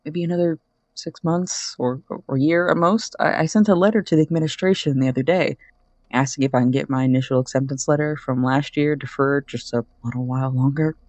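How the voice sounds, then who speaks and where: A man's voice: fairly high pitch, steady tone, fairly quick, darkish tone, dry recording, lightly acted. A man playing an earnest, hopeful young friend leaving a hesitant answering-machine message in an audio drama. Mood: hopeful, chatty. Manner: hesitant, sincere, rambling.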